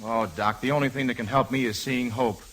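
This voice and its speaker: An actor playing a lovesick young romantic lead, earnest and melodramatic, in a 1940s radio musical. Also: male voice, mid-range voice, fairly even intonation, fairly quick, a bit bright, lightly acted, poor audio. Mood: heartbroken. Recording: vintage radio broadcast with music.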